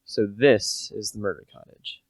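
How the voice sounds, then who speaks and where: A man's voice: on the low side, steady tone, moderate pace, no echo, close to an everyday voice, rough recording. A young man playing a humble, earnest salesman turned amateur sleuth in a radio play, plain-spoken and a little hesitant. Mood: curious. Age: young adult.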